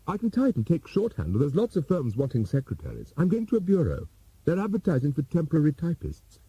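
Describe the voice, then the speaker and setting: A man's voice: on the high side, huge pitch swings, fast talker, mellow, husky, voice pushed up, full character voice, poor audio. A man voicing a naive, affectionate young wife in an audiobook, with a plaintive, girlish, emphatic manner. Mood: eager.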